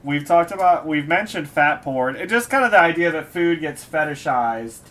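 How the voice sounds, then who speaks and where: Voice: mid-range voice, expressive, normal speed, a bit bright. An opinionated podcast host from Chile, chatting casually and enthusiastically, with some swearing. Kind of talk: casual bantering chat. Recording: faint background noise.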